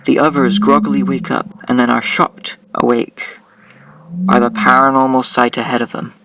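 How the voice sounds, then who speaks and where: A man's voice: medium pitch, fairly even intonation, normal speed. A man narrating a scripted audio drama. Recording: noisy, distant-miked, with clicks.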